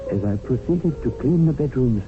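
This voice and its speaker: A man's voice: mid-range voice, expressive, music in the background, close to an everyday voice. Wry, deferential servant-narrator, acted by a man, tinny radio. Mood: measured storytelling. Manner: formal, flowery, measured phrasing. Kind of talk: 1970s radio drama narration and acting. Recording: noisy narrow-band old radio broadcast.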